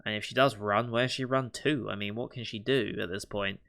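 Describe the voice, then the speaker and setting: Guy reacting to an anime, thinking out loud, crisp clear voice. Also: on the low side, steady tone, fairly quick. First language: not Japanese. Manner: thinking aloud, speculative, with soft laughs. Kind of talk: casual reaction commentary.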